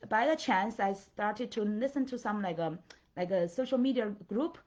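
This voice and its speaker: A middle-aged woman with a Chinese accent talks as a coach to her followers. She gropes for words, strings sentences together with "like" and "uh", and keeps checking in with "right?" and "okay?". The sound is somewhat dull and lo-fi, and her voice sits at a middling pitch. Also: a little mellow. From China. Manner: halting, filler-heavy, checks in with "right?" and "okay?". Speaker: life and business coach. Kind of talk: motivational talk to followers.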